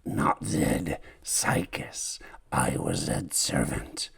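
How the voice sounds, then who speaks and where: A man voicing a dying old male servant who gasps and croaks in a bitter whisper. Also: a bass voice, animated, drawn-out words, a bit bright, pitched below the performer's own voice, heavily acted. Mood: gasping. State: dying, gasping.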